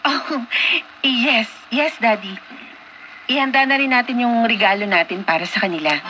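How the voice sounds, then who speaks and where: A woman's voice: fairly high pitch, normal speed, noisy background, lightly acted. A woman with a Filipino accent acting a gracious young heiress in a Tagalog radio drama. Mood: bright, affectionate. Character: Julia, young Filipina heiress, once haughty, now gracious.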